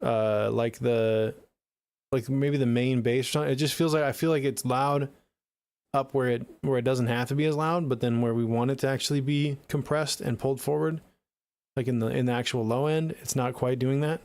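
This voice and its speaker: Guy streaming music reviews, chill slangy chat, medium pitch, super dry mic sound. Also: steady tone, normal speed, a little mellow, smooth, no echo. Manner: laid-back slangy talk, addressing viewers as dude and gang. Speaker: livestream host reviewing submitted music. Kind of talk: casual livestream chat and music feedback.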